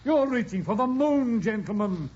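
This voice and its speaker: A high-pitched, husky male voice from a dull old radio play. a stern English negotiator, formal, declamatory and unyielding. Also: animated, normal speed, a little mellow. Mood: stern, exasperated. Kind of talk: old-time radio drama acting.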